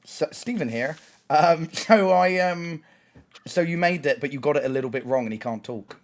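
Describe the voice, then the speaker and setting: A young man, joking and rambling fast in a casual gaming stream chat. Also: fairly high pitch.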